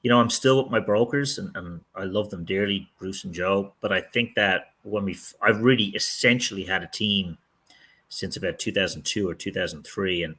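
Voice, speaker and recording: A man's voice: low voice, moderate pace, bright, forceful tone, husky. A middle-aged man, a real estate team leader, giving candid, rambling interview answers with frequent restarts. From outside the United States.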